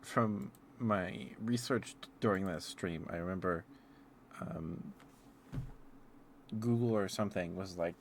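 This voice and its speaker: A man streaming a casual, rambling ASMR chat, speaking in a halting, musing way as if thinking aloud. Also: low-pitched, a little hiss or hum. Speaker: livestreamer.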